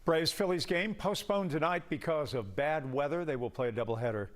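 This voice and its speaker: A man's voice: fairly high pitch, brisk. A man reading sports news as a TV anchor, in an upbeat scripted delivery.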